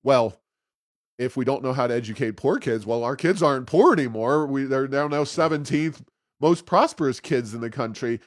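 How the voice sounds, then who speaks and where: A man with a medium-pitched voice talks through political commentary as a podcast co-host. He slips in sarcastic asides and often stops to correct himself. The sound is dry, with little room on it. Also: expressive, moderate pace, dry recording.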